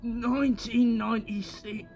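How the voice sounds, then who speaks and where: A man's voice: very high-pitched, steady tone, a little slow, music in the background. A man playing a blokey working-class gardener in an audio drama. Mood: alarmed, defiant. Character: chatty, startled working-class English gardener.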